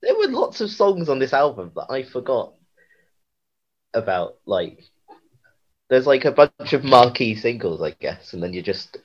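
A young man chats as a podcast co-host. His pitch moves in lively swings as he enthuses and jokes along. The recording of his voice is a little dull and lo-fi. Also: unhurried, pauses often. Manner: enthusiastic, jokey. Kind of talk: casual chat, interjecting and agreeing. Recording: slightly dull, lo-fi microphone.

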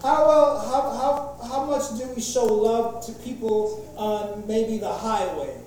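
A man's voice: extremely high pitch, takes it slowly, a little mellow, a little hiss or hum. Man preaching in an echoey church. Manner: casual, jokey opening, many fillers and restarts. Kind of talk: sermon. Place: large echoey church hall. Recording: echoey church hall from far off.

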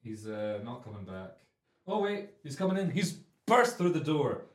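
A young man in his twenties hosting a comic chat show, loud and rambling, with a deep carrying voice that is a bit phlegmy. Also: big pitch swings, normal speed. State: phlegmy, clearing throat. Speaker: radio show host. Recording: echoey studio room.

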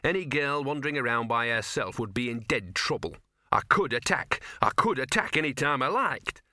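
A man's voice: medium pitch, animated, normal speed, sharp tone, no room sound, studio quality. A man voicing a scruffy small male dog in a storybook, growly and blustering in a colloquial way. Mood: grumbling bluster.

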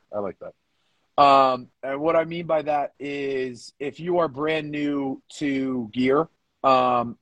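A man's voice: medium pitch, no echo. A man co-hosting a podcast in a laid-back, informal, bantering way. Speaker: podcast co-host. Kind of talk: casual chat with banter.

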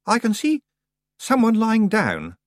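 A man's voice: sits high, wildly swooping pitch, slow, dry recording, studio quality, voice pushed up, full character voice. A man voicing an earnest, somewhat anxious young male hero in an audiobook. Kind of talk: audiobook character voice.

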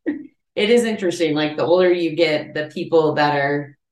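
A woman's voice: deep voice, moderate pace, darkish tone. A woman in her forties hosting a casual chat, laughing often and thinking aloud. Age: 40s. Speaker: podcast host. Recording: roomy, distant mic.

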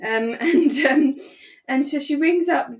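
Animated British woman chatting casually. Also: sits high, slow. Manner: animated, emphatic, expressive. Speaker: podcast co-host. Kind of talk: casual chat.

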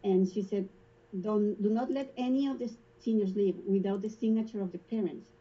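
A woman's voice: medium pitch, fairly even intonation, fairly quick, warm tone, husky, muffled recording. A woman with a Spanish accent who works in a school front office, telling stories in a casual interview and laughing often. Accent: Spanish-speaking.

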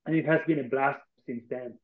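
A man's voice: medium pitch, steady tone, a little fast. Argentine man on a thin, phone-like line. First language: Spanish. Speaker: interview guest, tech company co-founder. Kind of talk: conversational podcast interview. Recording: thin phone-like online call audio.

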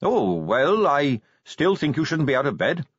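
A man's voice: on the high side, very animated, measured pace, breathy edge, no echo, lo-fi sound, voice pushed up, exaggerated voice. A man voicing an earnest, by-the-book watch captain in a fantasy audiobook, speaking politely, carefully and formally. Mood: polite, firm.

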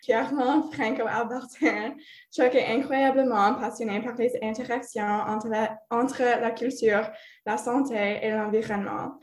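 A woman's voice: on the high side, fairly even intonation, measured pace. Anglophone woman hosting in French, echoey. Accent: Ontario English-speaker's French. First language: English. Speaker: podcast host. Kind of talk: reading scripted introduction, then interviewing.

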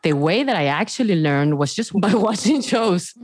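Young Venezuelan woman, candid and low. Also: moderate pace, dry recording, clean studio sound. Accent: Venezuelan Spanish. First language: Spanish. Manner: candid and expressive, swears freely. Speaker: podcast co-host. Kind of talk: casual chat.

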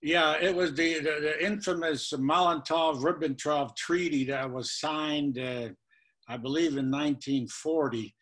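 A man in his eighties, born in Lithuania, answering interview questions about his childhood, with many pauses and fillers. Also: a little high, fairly even intonation. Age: 80s. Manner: halting, many uh fillers, trails off quietly. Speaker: guest.

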